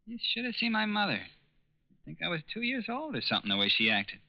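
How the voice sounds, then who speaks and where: An actor in a 1940s radio drama playing a naive, hesitant, good-natured teenage factory worker in a boyish, slangy way. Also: male voice, sits high, huge pitch swings, a little fast, dry recording, exaggerated voice. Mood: uneasy. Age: young adult. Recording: dull vintage radio recording.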